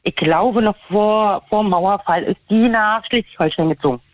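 A woman with a Berlin accent calling in to a radio show, talking in a halting, hesitant way. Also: mid-range voice, no room sound. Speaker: caller. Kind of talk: call-in radio chat. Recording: tinny phone line.

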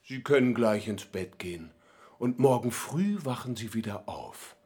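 A haunted middle-aged knife attacker in a radio play. He is fatalistic, with a husky voice that rises and falls widely. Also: mid-range voice, lively intonation, unhurried, a little mellow. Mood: urgent, eerie. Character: haunted 47-year-old man who stabbed his wife, fatalistic. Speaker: actor. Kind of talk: radio drama dialogue.

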